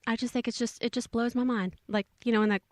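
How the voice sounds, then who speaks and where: A woman's voice: a little high, steady tone, fast talker, dry recording, studio quality. Bubbly woman radio host. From Texas. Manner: bubbly, slangy and rambling. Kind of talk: chatty radio DJ banter.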